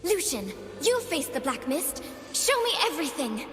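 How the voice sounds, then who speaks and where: A woman's voice: high-pitched, very animated, moderate pace, fairly bright tone. A woman voicing an earnest, eager young doll-like heroine in theatrical video game lines. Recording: reverberant game audio over music.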